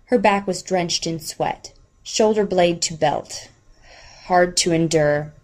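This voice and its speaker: A woman's voice: lowish voice, slow pace, darkish tone. A woman reading scripted narration of a novel as an audiobook narrator.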